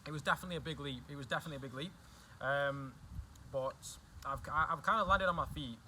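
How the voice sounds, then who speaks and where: A man's voice: a little high, fast talker, bright, forceful tone, a little hiss or hum. A young man with an English accent, chatting casually as a guest and often repeating himself. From England. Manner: chatty, repeats himself, says "do you know what I mean". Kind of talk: casual chat.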